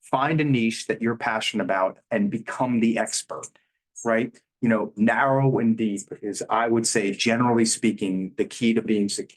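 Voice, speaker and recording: A man hosting an interview, measured and affirming, who sums up lessons for listeners. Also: lowish voice, rough recording. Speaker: interview host. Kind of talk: casual interview chat.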